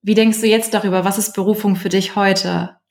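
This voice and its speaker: A woman hosts a casual interview in a friendly, affirming manner. She asks her questions slowly and leans on fillers as she goes. Her replies to the guest sound encouraging. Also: medium pitch, fairly even intonation. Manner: warm, affirming, many fillers. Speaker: interview host.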